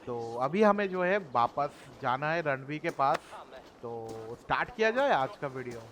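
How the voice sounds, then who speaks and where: Very fast Indian man, gaming commentary. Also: on the high side, expressive, a bit bright. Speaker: gaming video host. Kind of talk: casual gameplay commentary.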